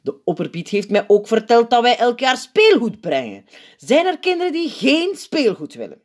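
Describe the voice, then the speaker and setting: Woman doing a dazed old storybook saint, high, loud, slow and childlike. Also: very animated. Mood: bewildered. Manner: bewildered, slow, oblivious.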